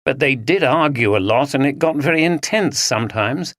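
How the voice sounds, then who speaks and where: A man's voice: medium pitch, lively intonation, normal speed, a bit bright, dry recording, studio quality, pitched above the performer's own voice. A man voicing a hesitant young man in a group. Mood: unsure.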